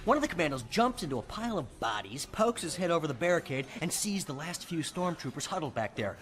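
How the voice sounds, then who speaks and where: An actor playing a brash, teasing young military cadet in an audio drama, with a gleeful, mock-heroic storytelling manner. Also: male voice, fairly high pitch, sing-song, fast talker, fairly bright tone, faint music behind. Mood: amused. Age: young adult.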